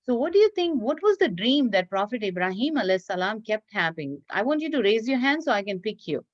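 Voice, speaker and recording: A woman telling a story and teaching children in an expressive, encouraging, teacherly way over a video call. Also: fairly high pitch, brisk. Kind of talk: read-aloud storytelling and quizzing children in an online class. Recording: dull lo-fi online class audio.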